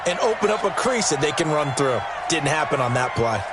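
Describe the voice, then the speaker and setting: A man's voice: a little high, very animated, brisk, a loud crowd, heavy background noise. A man with an American accent playing a football video game's color commentator, explaining things in a conversational way. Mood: explanatory. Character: knowing male football analyst in the booth.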